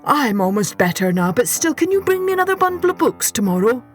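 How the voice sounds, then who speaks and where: A woman's voice: high-pitched, big pitch swings, brisk, faint music behind, voice pushed up. A woman voicing a fussy, fretful male baker in an exclamatory, sputtering storybook manner. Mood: eager.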